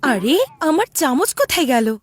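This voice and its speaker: A woman's voice: high-pitched, very animated, moderate pace, a little hiss or hum. A woman voicing an exasperated, scolding mother in a children's cartoon, with a dramatic, nagging delivery. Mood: flustered.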